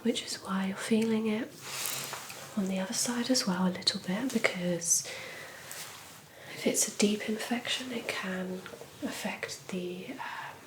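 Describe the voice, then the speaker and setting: A woman whispering gently and breathily in an ASMR medical roleplay, calm, reassuring and unhurried like a clinician. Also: mid-range voice. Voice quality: breathy, mostly unvoiced whisper. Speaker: ASMR performer playing a calm clinician doing an ear exam. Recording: lo-fi recording with noise and clicks.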